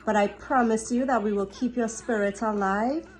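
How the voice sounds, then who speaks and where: A woman's voice: fairly high pitch, moderate pace, rough recording. A woman speaking as a grandchild in a personal farewell tribute.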